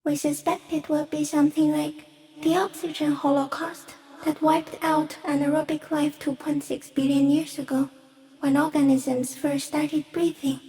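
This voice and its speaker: A woman voicing a giant stick insect in a video game, gentle, solemn and cryptic, speaking in slow, measured phrases. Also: high-pitched, little pitch movement, vocal fry, a little hiss or hum, rough recording. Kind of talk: video game character acting.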